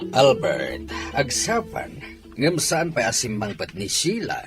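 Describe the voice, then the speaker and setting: A man's voice: mid-range voice, wildly swooping pitch, slow pace, music in the background. A middle-aged man playing an admonishing father in an audio drama, speaking expressively. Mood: earnest, admonishing. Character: father urging his married son to keep peace. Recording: faint background noise.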